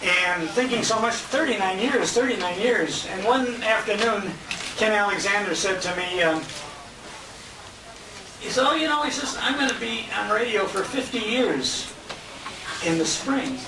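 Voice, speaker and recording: A man's voice: sits high, animated, measured pace. A man speaking informally as emcee to banquet guests, jovial and folksy, with some hesitation, recorded from a distance on a handheld camera in a restaurant. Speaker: emcee and radio host. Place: restaurant banquet room, indoors. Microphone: handheld compact camera at a distance. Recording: noisy echoey dining room, distant handheld camera mic. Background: loud diners, clattering dishes and cutlery.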